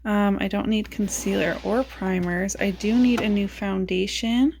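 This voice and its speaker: A woman beauty vlogger chatting casually about her makeup, raspy as she loses her voice and thinks aloud in a self-mocking way. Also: mid-range voice, steady tone, unhurried, darkish tone, slight background noise.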